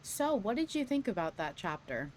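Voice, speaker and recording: A woman's voice: mid-range voice, sing-song, normal speed, a little mellow. A woman co-hosting a podcast, chatting casually in a dry, teasing way. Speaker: podcast co-host. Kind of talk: casual chat.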